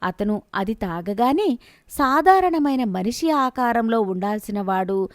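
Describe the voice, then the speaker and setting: A woman narrating a scripted bedtime fantasy story in a steady, expressive storytelling manner. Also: a little high, measured pace, dry recording, studio quality.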